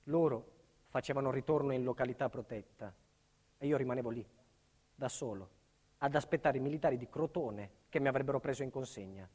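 An actor playing a frightened, indignant protected witness in an intense theatrical monologue that moves between hushed and agitated. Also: male voice, medium pitch, brisk, husky, natural voice. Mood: hushed, brooding. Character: frightened, indignant male protected witness recounting his ordeal.

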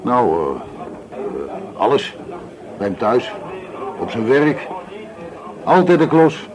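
An easygoing working man in a radio drama, speaking casually and a little evasively, with hesitations. His pitch is medium, and busy café chatter runs behind him. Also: sing-song, slow pace, poor audio. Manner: casual, hesitant, vague in answering. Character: evasive, easygoing factory worker, café regular. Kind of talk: Dutch radio play acting.

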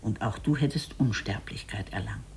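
A storyteller voicing a solemn old sage from a Chinese tale, instructing in a measured, grave manner. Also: mid-range voice, vocal fry, darkish tone, breathy edge, voice pushed down. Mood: grave disappointment.

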